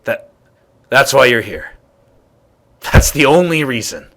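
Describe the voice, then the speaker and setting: An actor playing a gruff, proud, bitter old grandfather in a video game, curt and scornful, with a gravelly, husky voice. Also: medium pitch, very animated, slow, fairly bright tone, no room sound, heavily acted. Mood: scornful, stern. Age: older. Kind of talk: video game character dialogue.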